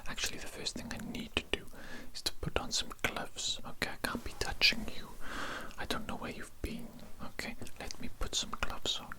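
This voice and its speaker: A man voicing a calmly menacing, callous evil doctor in an ASMR roleplay, whispering slowly and deliberately with soft tag questions. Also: close to an everyday voice. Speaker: ASMR performer.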